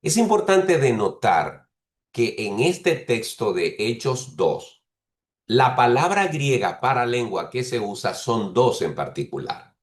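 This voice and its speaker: A man preaching a teaching sermon who explains slowly and restates each point several ways. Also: medium pitch, sing-song, echoey room. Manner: explains patiently, repeats and rephrases points; speaks bilingually of Spanish and English.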